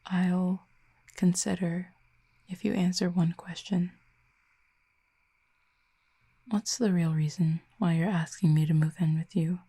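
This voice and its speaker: Tender but guarded female Cupid played by a woman, intimate roleplay, low and gravelly-soft, measured formal phrasing. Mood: measured, wry. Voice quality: soft, husky, breathy. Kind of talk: intimate audio roleplay acting.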